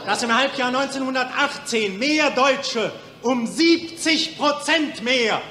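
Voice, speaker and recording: A man's voice: very high-pitched, slow, pushed and bright, a faint crowd, slight background noise, exaggerated voice. A man acting a bitter, defiant mental patient who denounces and accuses in 1940s radio play style. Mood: bitter, prophetic. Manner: biting, accusatory, declamatory. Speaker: actor. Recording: 1940s radio recording, echoey room.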